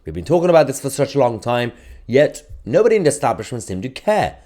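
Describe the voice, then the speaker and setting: A man's voice: medium pitch, sing-song, fast talker, mellow. A man hosting an online news channel, delivering opinionated commentary in emphatic bursts. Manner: emphatic, rising and falling in bursts.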